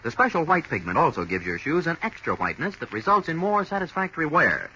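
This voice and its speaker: A man reads a 1940s radio commercial in an upbeat, selling tone, his voice bright and his intonation lively. It comes through muffled with a faint hiss. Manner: brisk, upbeat sales pitch. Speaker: commercial announcer.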